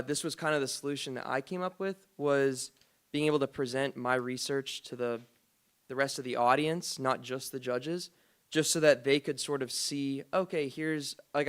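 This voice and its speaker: Smooth-voiced man presenting casually. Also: mid-range voice, fairly bright tone. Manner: loose and thinking aloud, hedging with "like" and "kinda". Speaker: dance group director presenting to a forum.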